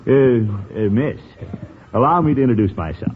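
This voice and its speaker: An actor playing a pompous, flirtatious middle-aged uncle and small-town official in classic radio comedy, blustering and chuckling fussily. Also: male voice, mid-range voice, animated, moderate pace, natural voice. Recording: noisy, narrow-band vintage radio recording.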